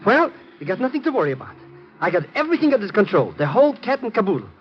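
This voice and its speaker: An actor playing an excitable, cowardly small-time hustler who stammers out slang, in 1950s radio drama style. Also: male voice, sits high, very fast. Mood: boastful. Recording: muffled vintage radio broadcast.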